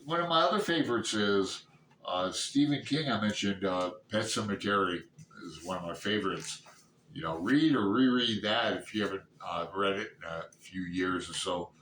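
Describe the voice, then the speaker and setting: A man in his sixties chatting casually and unscripted about books, halting and rambling as he thinks aloud. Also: low voice, fairly bright tone. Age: 60s. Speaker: book reviewer vlogger. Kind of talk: casual unscripted book chat. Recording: roomy echo, distant mic, background noise.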